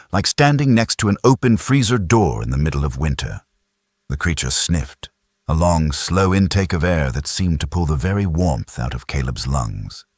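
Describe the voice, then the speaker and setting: A man's voice: deep voice, dry recording, studio quality. A man reading dramatic scripted science fiction as an audiobook narrator.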